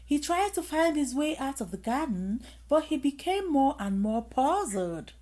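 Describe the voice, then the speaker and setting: A woman reading a children's bedtime story aloud in an expressive manner, with dramatic emphasis. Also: sits high, measured pace. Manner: expressive, dramatic emphasis with acted sound effects.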